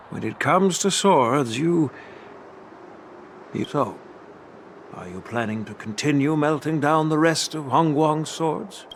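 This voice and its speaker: An actor voicing a kindly, teasing old general and grandfather in a video game, measured and sage-like. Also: a little high, lively intonation, slight background noise. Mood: calm, wise. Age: older. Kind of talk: English video game voice acting. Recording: game music behind the voice.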